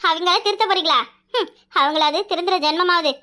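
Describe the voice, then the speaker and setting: Woman playing a very shrill, outspoken young village wife. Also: brisk, sharp tone. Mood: worried, musing. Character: outspoken young village wife, dutiful, protective, quick-tempered. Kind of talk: Tamil family drama dialogue.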